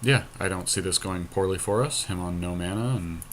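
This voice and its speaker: A man's voice: low-pitched, a little fast, a bit bright, a little hiss or hum. A man co-hosting a podcast, giving casual, joking and sometimes sweary commentary as he plays a card game. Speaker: podcast co-host playing an online card game.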